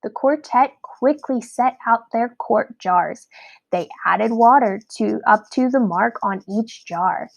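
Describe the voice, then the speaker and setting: Female storyteller for children's read-aloud: expressive, unhurried delivery, touches of vocal fry. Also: on the high side, low-quality recording. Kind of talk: scripted children's story narration.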